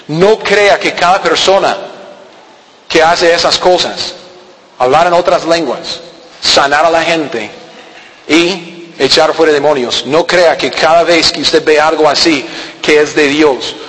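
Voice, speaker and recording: A man with a high voice delivers an earnest, questioning sermon, often restarting his phrases and pausing on fillers. His words ring out in a church hall with a long, echoing reverb. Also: a bit bright. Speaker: preacher.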